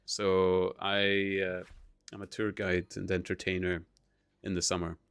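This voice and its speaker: A man's voice: low voice, monotone, unhurried, fairly bright tone, clean tone, no echo, clean studio sound. A Scottish man hosting a live stream, a chatty storyteller who laughs often and reads out chat. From Scotland. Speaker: livestream host. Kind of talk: casual chat.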